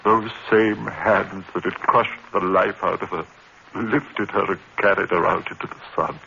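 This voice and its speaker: A man's voice: deep voice, steady tone, a little slow, vocal fry, sharp tone, muffled recording, a little hiss or hum, heavily acted. A man playing an anguished, haunted murderer in overwrought 1950s horror drama style, on an old broadcast recording. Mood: grief-stricken, resigned. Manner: frantic, melodramatic, overwrought. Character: anguished condemned murderer haunted by a demon woman.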